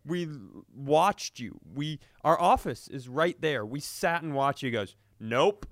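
A man's voice: a little high, animated, measured pace, no echo, clean studio sound. A man telling stories casually and comically as a podcast co-host, indignant and sweary, acting out the exchanges he quotes. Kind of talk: casual comedic storytelling.

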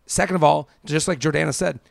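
A man's voice: on the high side, quick pace, creaky, no room sound, studio quality. A man in his thirties co-hosting a casual advice podcast, asking rhetorical questions and acting out quotes from imagined people. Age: 30s. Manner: animated quoting of imagined speakers, rhetorical questions. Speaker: podcast co-host.

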